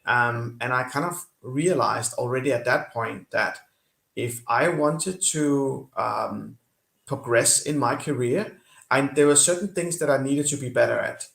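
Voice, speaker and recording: Earnest interview guest, a man with a Danish-tinged accent and a gravelly voice, recorded in a roomy space. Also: medium pitch, a little slow, a bit bright. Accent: Danish-influenced. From Kenya. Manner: earnest, many fillers and restarts. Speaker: guest, company CEO. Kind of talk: interview over video call.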